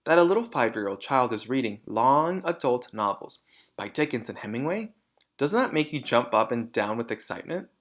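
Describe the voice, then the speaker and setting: A man's voice: mid-range voice, big pitch swings, moderate pace, fairly bright tone. A man reads as a polite lady schoolteacher from a storybook. The delivery is earnest and restrained, with questioning, varied intonation.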